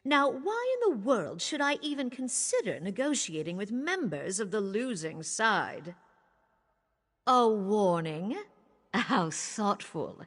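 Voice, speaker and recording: A woman voicing a scornful, cruelly seductive usurper queen in a video game, husky, imperious and disdainful. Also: medium pitch. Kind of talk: video game character acting. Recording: long reverb of a large hall.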